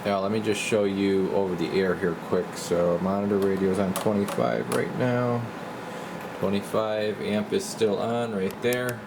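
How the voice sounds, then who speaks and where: Casting: male repair tech, deep voice, informal and rambling, recorded over clear background noise. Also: fairly even intonation, measured pace. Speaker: radio repair technician and video host. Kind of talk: casual hands-on repair walkthrough. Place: indoor repair workbench. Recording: noisy room with clear background hum.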